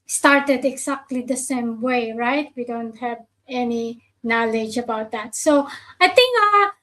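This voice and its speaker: A woman from the Philippines hosts a casual live online chat. She speaks slowly in a high voice. She hesitates often, filling gaps with "uh" and restarting her sentences. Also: fairly bright tone. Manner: hesitant, many fillers and restarts. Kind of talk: casual live stream conversation.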